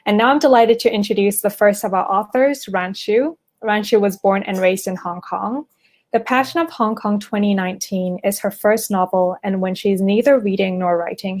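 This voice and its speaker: Female event host, medium pitch, reading a prepared welcome and housekeeping notes. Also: a little mellow. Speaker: festival team member introducing an online event. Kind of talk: scripted event introduction.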